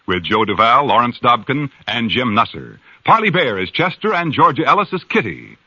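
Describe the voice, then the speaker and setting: A male announcer reading the credits of a vintage radio drama in a crisp, formal style. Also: mid-range voice, pushed and bright, dry recording. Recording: dull vintage radio recording.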